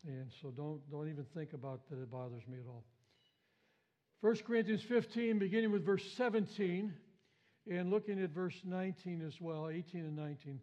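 A man's voice: a little high, fairly even intonation, normal speed, dark tone, muffled recording. A man preaching an Easter sermon as pastor, with a big voice, emphatic and repeating his phrases. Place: church sanctuary.

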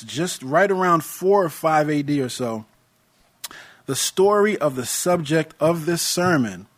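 A young American man preaching a sermon in a measured, earnest way, with touches of light humor. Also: a little high. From United States.

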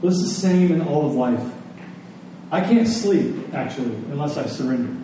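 In a very echoey room, heard from a distance, a male pastor preaches in a mellow, fairly high voice. He leans on repeated phrases for emphasis and slips into conversational questions to the congregation. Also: expressive, normal speed. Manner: conversational asides and rhetorical questions. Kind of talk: church sermon. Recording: echoey room, distant mic, faint noise.

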